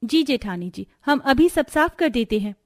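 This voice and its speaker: A woman's voice: high voice, animated, moderate pace, darkish tone. A woman voicing a meek, respectful young village daughter-in-law in a cartoon, polite and hesitant with rustic phrasing. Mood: obedient.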